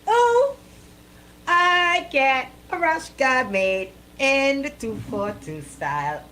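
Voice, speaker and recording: A woman with a Bahamian accent hosting a live radio show with casual banter, talking in a playful, animated, colloquial way. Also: sits high, slow, slight background noise.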